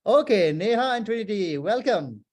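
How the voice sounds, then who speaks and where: A man's voice: high-pitched, lively intonation, normal speed, a little mellow. A man hosting a casual video interview, asking playful, teasing questions. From Nepal. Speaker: host.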